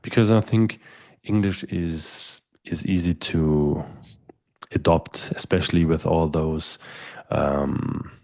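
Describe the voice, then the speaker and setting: A man's voice: rumbling low, fairly even intonation, takes it slowly, a little mellow, dry recording. A middle-aged man hosting a podcast, asking long, winding interview questions with frequent restarts. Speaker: podcast host.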